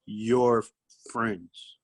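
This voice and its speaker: A man's voice: on the low side, fairly even intonation, extremely slow. Man chatting casually on a podcast. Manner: colloquial, thinks aloud with repeated "like" and "you know". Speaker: guest recording artist. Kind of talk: casual chat, podcast discussion.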